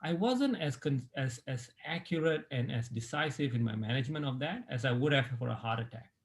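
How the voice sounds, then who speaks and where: A middle-aged man from Malaysia, a sports medicine doctor, recounting his life story as an interview guest in a relaxed way with many fillers and restarts. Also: mid-range voice, a little fast.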